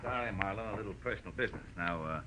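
Cagey nightlife promoter: coy, self-satisfied, low forceful voice, faintly noisy 1940s radio. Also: male voice, very fast. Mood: businesslike. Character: smug, cagey male burlesque show promoter. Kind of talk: 1940s radio drama acting. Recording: hissy old radio recording.

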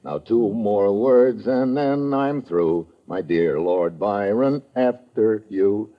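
An actor playing a tough, quick-thinking adventurer in an old radio drama, brusque and wry in manner. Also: male voice, lowish voice, lively intonation. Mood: wry, relieved. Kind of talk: 1950s American radio adventure acting. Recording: muffled old radio broadcast.

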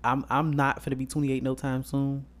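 A man's voice: mid-range voice, monotone, normal speed. A man, talking bluntly in casual chat, swearing and laughing. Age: 20s.

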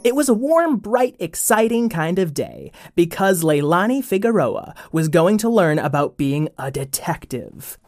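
A man hosting a children's story podcast in a lively, chatty style. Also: high voice, measured pace, few pauses, no echo.

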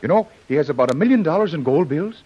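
An eager, boastful underling stammers along in a lively, swooping voice. He repeats himself constantly, on a muffled old radio serial recording. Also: a little high, fast talker, breathy edge. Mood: chatty, earnest. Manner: stammering, flustered, repetitive. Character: bumbling, garrulous, eager Irish guard, boastful underling. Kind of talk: 1940s radio serial acting.

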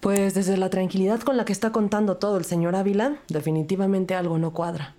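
A woman's voice: mid-range voice, steady tone, fairly quick, dark tone, lightly acted. A woman playing a sober, skeptical police detective in a crime audio drama, speaking and narrating in a serious way. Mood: skeptical, grave.

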